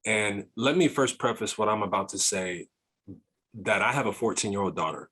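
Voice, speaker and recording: A man with a congested voice from a cough, explaining his view in a measured, casual chat as a podcast guest. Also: fairly low pitch, a bit bright, breathy edge, rough recording.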